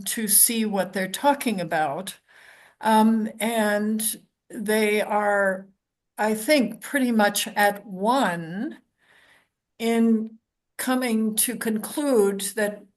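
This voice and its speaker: An older woman speaking on a roundtable panel, hoarse and croaky from a lingering cold, thoughtful and unhurried with many pauses and ums. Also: medium pitch. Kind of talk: academic roundtable talk.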